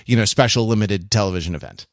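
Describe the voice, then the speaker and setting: Man, slightly deep voice, casual discussion, hesitant word-searching delivery. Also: lively intonation, quick pace. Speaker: podcast co-host.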